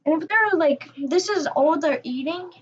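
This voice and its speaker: A woman giving casual reaction commentary, haltingly thinking aloud as she goes. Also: high-pitched, lively intonation, dull audio, a little hiss or hum. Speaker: commentator reacting to a story video.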